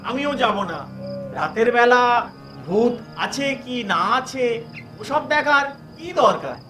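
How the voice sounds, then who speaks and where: A man's voice: extremely high pitch, big pitch swings, slow pace, bright, forceful tone, full character voice. A man voicing a timid, hesitant village friend in a cartoon. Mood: reluctant. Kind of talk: cartoon voice acting. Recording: music under the voice.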